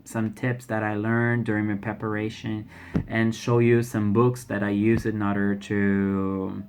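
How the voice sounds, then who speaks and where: A man's voice: fairly low pitch, flat delivery, a little slow, noisy background. A young man from Brazil with a Brazilian Portuguese accent, speaking English as a second language in a casual vlog, often restarting and repeating words. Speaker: language learner sharing exam experience. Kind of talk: casual vlog talk to camera.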